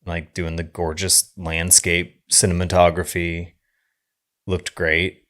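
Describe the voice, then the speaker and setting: A man co-hosting a casual film podcast, talking in a halting, rambling way that often trails off mid-thought. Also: sits low, completely flat delivery, fairly bright tone.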